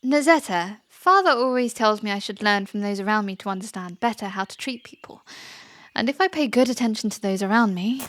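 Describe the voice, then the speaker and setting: A woman's voice: medium pitch, a little hiss or hum, natural voice. A woman playing a taunting, mischievous, spoiled young princess in a fantasy audio drama, theatrical and sarcastic. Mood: smug, cutting.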